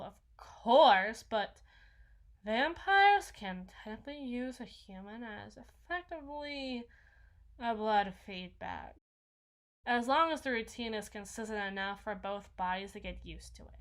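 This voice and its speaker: A woman's voice: mid-range voice, animated, unhurried. A woman voicing an awkward, needy, housebound young vampire in an ASMR roleplay, rambling and stammering as she corrects herself. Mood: rambling, eager. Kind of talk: intimate ASMR roleplay monologue.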